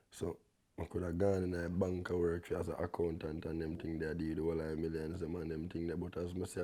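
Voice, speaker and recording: A young man with a Jamaican accent and a deep bass voice, talking in relaxed patois as a guest artist, often tagging his sentences with a check-in. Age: young adult. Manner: laid-back, peppers talk with "you see me?".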